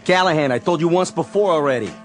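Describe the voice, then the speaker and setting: An actor playing a tough bartender in a radio drama, stern but protective, with a blunt, slangy, commanding manner. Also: male voice, fairly high pitch, quick pace, music in the background, close to an everyday voice. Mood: threatening.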